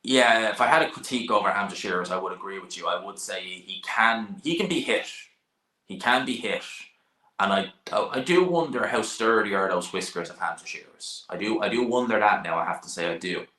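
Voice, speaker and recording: A young Dublin man with an Irish accent chats loosely through viewer questions, repeating phrases and using casual slang. His voice is low but sharp and forceful. The room around him rings with echo. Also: brisk. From Ireland. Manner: rambling, repeats himself, informal slang. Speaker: boxing channel host. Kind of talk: live stream chat answering viewer questions.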